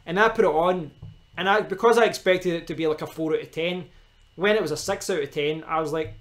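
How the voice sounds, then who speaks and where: Rambling Glaswegian man, slightly high voice. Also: fairly quick, a bit bright. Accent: Glaswegian Scottish. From Scotland. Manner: rambling, frequent restarts and asides to viewers. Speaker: live streamer. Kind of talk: casual rambling live stream chat. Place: kitchen at home.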